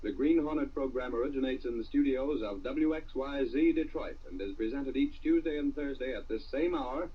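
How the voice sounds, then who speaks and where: Old-time radio announcer, man, slightly husky, muffled. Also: medium pitch, normal speed, few pauses. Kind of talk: 1940s radio announcing, scripted.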